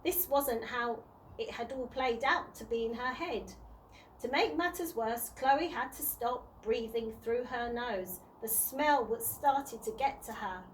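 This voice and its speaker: High-voiced woman reading a children's story. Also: a little hiss or hum, low-quality recording. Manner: deliberate, stumbling over words and rereading. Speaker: early years practitioner reading to children. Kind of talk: read-aloud children's storybook narration.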